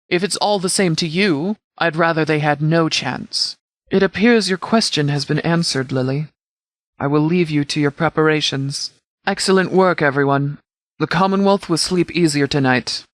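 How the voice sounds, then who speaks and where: A man playing a stern, formal sheriff in a video game, giving orders in a measured, authoritative way. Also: fairly high pitch, a bit bright, dry recording. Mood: resolute, then commanding, formal. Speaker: sheriff and commander. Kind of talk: scripted game dialogue, mission briefing.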